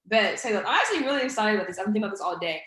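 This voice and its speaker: A woman's voice: mid-range voice, animated, very fast, fairly bright tone. Upbeat woman host in an echoey room. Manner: upbeat, filler-heavy questioning. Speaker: podcast host. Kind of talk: casual interview hosting.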